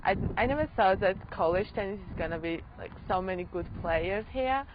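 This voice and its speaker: A woman's voice: mid-range voice, animated, fairly quick. Careful young woman from Georgia. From Tbilisi, Georgia. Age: young adult. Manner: careful, simple phrasing. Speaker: college tennis player. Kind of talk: casual interview answers. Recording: dull audio with clear hiss.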